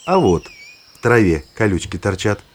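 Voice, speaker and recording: Kindly old grandfather, low-pitched, lively intonation, husky, instructive, children's audio play. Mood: instructive, engaged. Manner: unhurried, storytelling, instructive. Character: kindly knowledgeable grandfather guiding granddaughter through forest. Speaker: narrator-guide in an educational audio play.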